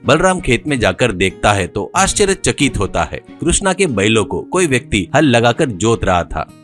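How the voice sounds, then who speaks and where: Male narrator, scripted moral storytelling, animated intonation, middle pitch, faint background music. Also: normal speed.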